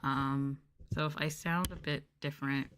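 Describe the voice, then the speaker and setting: A soft-spoken woman chatting casually and playfully with viewers as the host of an ASMR livestream. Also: sits low, fairly even intonation, normal speed, slight background noise. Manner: soft-spoken, playful, drifting into close-mic whispers. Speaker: VTuber streamer and host.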